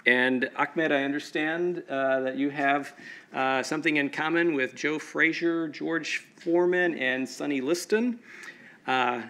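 Bright, higher-voiced man introducing a speaker. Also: a little slow. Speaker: event emcee. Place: auditorium stage at a podium.

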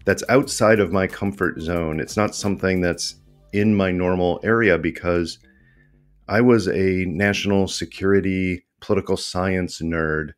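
A man's voice: low-pitched, fairly even intonation, measured pace, faint music behind. A man hosting a podcast interview, enthusiastic and self-deprecating, with frequent restarts. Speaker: podcast host. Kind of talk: conversational interview.

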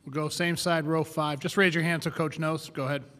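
A man moderating a press conference, briskly directing who asks next. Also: on the high side, flat delivery, pushed and bright. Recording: distant voice in a reverberant room.

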